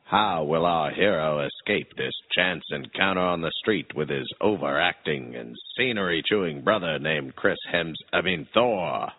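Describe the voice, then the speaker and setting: A man's voice: very deep voice, moderate pace, few pauses, phone-quality sound. A man playing a pompous, mock-dramatic announcer in an old-time radio serial, with a theatrical delivery. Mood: mock suspense. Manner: theatrical, tongue-in-cheek grandiose delivery. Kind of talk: old radio drama narration and sponsor spot.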